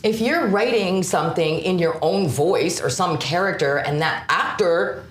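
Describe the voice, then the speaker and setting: A woman's voice: fairly low pitch, unhurried, echoey room, slight background noise. A young woman co-hosting a podcast as a stand-up comic, talking bluntly and jumping between ideas in casual chat.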